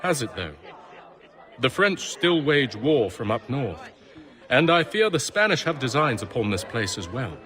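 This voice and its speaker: Refined, expressive, earnest gentleman. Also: mid-range voice, unhurried, faint music behind. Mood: doubtful. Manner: formal, thoughtful, polite. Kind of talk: dramatic game cutscene acting.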